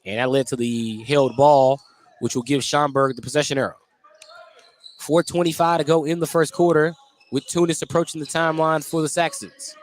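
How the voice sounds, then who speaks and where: Higher-voiced American man calling basketball. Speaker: radio play-by-play basketball announcer. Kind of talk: live sports commentary. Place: indoor basketball gym.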